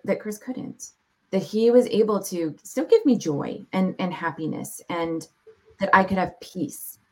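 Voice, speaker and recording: A woman speaking as a podcast guest, sharing her testimony in a reflective, heartfelt way with measured pauses. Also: on the low side, a little mellow, poor audio. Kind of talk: personal testimony in an interview.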